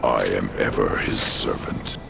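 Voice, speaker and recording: An actor playing a menacing undead lord of the dead in a dark fantasy game, speaking in a grandiose, theatrical growl. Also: male voice, very deep voice, steady tone, measured pace, noisy background, exaggerated voice. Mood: haughty warning. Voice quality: very gravelly, growling.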